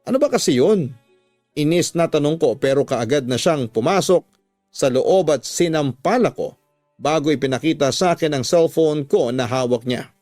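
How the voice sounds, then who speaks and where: A man's voice: on the high side, normal speed, a little mellow, no echo. A man narrating a love story in the first person, as dramatic scripted radio storytelling. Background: music.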